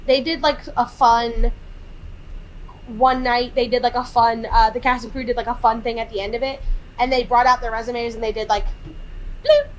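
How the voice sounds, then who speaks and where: A woman of thirty chats her way through a film recap on a podcast, voice sitting a little high. She leans into the quotes with exasperated, animated energy. A faint background hiss sits under her. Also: normal speed, poor audio. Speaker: podcast co-host. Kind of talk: casual chat recapping a film.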